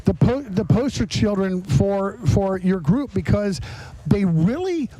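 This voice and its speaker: A middle-aged man with a high voice and a mellow tone hosts a casual podcast chat. He talks in an effusive, rambling way. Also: animated, unhurried, rough recording. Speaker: podcast host. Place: on location at a garage.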